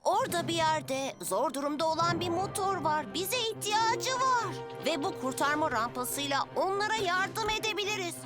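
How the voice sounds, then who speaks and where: A woman's voice: very high voice, lively intonation, moderate pace, few pauses. A woman voicing an eager, brave young rescue motorbike boy in a children's cartoon dub, full of exclamations. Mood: thrilled, urgent. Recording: loud cartoon music behind.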